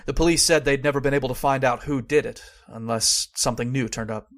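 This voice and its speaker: A man's voice: medium pitch, steady tone, fast talker, a bit bright, lightly acted. A man playing a skeptical but haunted narrator in an old-time radio horror drama.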